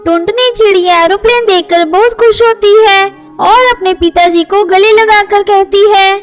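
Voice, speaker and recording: Very high-pitched woman storyteller. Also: moderate pace. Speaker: narrator. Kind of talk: scripted children's story narration. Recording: faint music under voice. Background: faint music.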